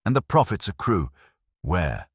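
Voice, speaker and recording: A man voicing a mild, reserved police inspector who is measured and drily observant, in a mystery story. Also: low voice, huge pitch swings, breathy edge, no room sound, clean studio sound, full character voice. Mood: dry, probing.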